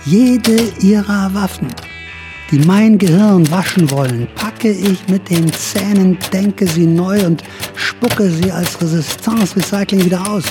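An actor playing a manic, defiant dreamer who rambles theatrically in a German radio drama. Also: male voice, sits high, a little slow, over music. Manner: theatrical, exclamatory.

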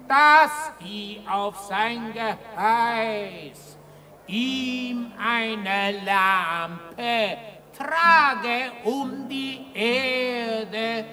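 High, slow, satirical street balladeer. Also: male voice, sharp tone, exaggerated voice. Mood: grandly declaiming. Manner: declamatory, drawn-out phrases between spoken asides. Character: brash satirical street ballad singer, mocking and folksy. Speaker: ballad singer. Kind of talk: theatrical half-sung ballad in a radio play. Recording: 1960s radio play with musical accompaniment.

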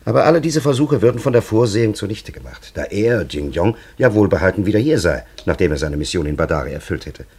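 Give this radio drama a very low-voiced old man, an ancient time traveller who is grave and cunning. His delivery is solemn and ornate, with wide swings in intonation. He addresses others with patronising authority. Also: big pitch swings, fast, few pauses, a little mellow, slightly rough, full character voice. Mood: solemn, sly. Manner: solemn, elaborate, theatrical storytelling. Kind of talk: radio play acting.

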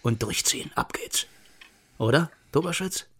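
Hard-drinking East German hardliner, crime radio play, medium pitch, goading and conspiratorial, quietly threatening. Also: male voice, expressive, normal speed, slightly rough. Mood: goading, boastful. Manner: clipped, needling, conspiratorial. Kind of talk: radio crime drama dialogue.

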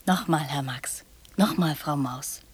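Breathy, slow-spoken naive little girl. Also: low voice, no room sound, full character voice. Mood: dreamy, naive. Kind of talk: poetic radio drama acting.

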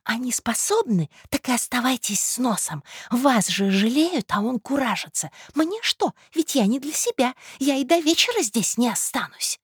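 A woman's voice: high-pitched, big pitch swings, moderate pace, few pauses, breathy edge, no room sound, studio quality, voice pushed up, full character voice. A woman playing a nosy, spiteful female eavesdropper in a story, brusque, needling and conspiratorial.

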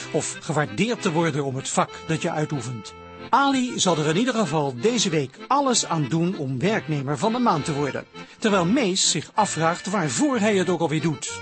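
A man narrating a scripted introduction to a radio drama episode. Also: fairly high pitch.

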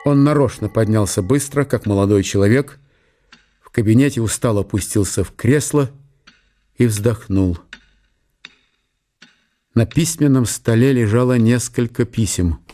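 A man giving a measured, storytelling narration of a literary radio drama. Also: medium pitch, warm tone. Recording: music under the narration. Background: music.

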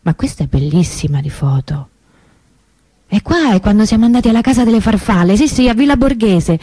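A woman's voice: medium pitch, animated, dark tone, breathy edge, no echo. An actress playing an anguished young woman remembering her missing sister in an intimate, hesitant radio monologue. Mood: grieving, nostalgic. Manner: confiding, hesitant, conversational with pauses and repetitions.

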